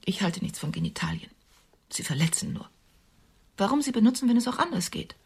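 A woman's voice: mid-range voice, lively intonation, fairly quick, vocal fry, a little mellow, slightly rough, exaggerated voice. An actress playing an older, sober working woman in a radio drama, speaking in a dry, formal, reserved way with tenderness underneath. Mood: intimate, hushed.

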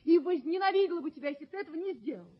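Very high-voiced, proud young lady. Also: expressive, rapid-fire. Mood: defiant, disdainful. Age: young adult. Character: proud, willful, spoiled young daughter of rich landlord. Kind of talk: Soviet radio play acting. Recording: dull 1970s radio drama recording.

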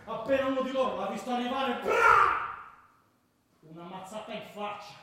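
A man's voice: very high-pitched, moderate pace. A male actor playing a rowdy football ultra, relishing mayhem in vivid radio-drama storytelling. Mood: awed relish. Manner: vivid, swinging from hushed to explosive. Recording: echoey, distant, noisy room.

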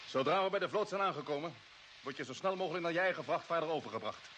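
Bossy space captain in an old radio play, brisk orders, voice a bit high, slight hiss. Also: male voice, lively intonation, fairly bright tone. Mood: commanding. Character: decisive, commanding spaceship captain. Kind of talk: radio drama acting.

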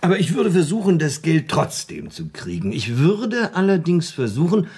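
An actor in a German radio play voicing a shrewd, haggling man, persuasive and deliberate, with a slightly husky voice. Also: fairly high pitch, big pitch swings, a little mellow, heavily acted.

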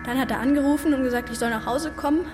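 An actress playing a frightened teenage girl giving halting testimony in a radio play. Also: high-pitched, over music, natural voice. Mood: shaken.

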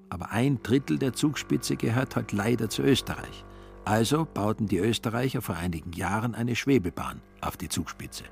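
A man's voice: mid-range voice, gravelly. A man narrating a literary radio play as a scripted story.

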